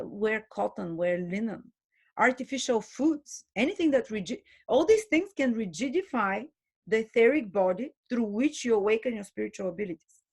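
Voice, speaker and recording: A woman giving an earnest spoken lecture as a spiritual teacher, pausing and restarting her phrases often. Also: on the high side, darkish tone. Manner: earnest, with frequent restarts and hesitations.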